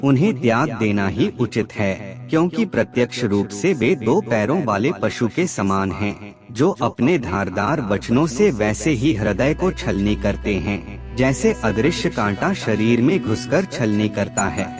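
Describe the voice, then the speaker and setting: A man reading scripted moral maxims as a narrator, in a measured, instructive manner. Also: on the low side. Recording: clear background music under voice. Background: music.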